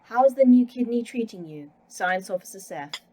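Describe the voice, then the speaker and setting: A woman voicing a calm female AI that runs a secret facility, speaking in a precise, dry manner in an audio drama. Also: fairly high pitch, normal speed. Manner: precise, dry, oddly proud of hobbies.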